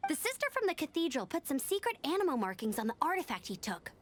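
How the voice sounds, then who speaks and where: A woman playing a plucky young knight scout in a video game, earnest, brisk and determined. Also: high voice, big pitch swings, heavy background noise. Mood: focused, earnest. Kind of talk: video game character dialogue.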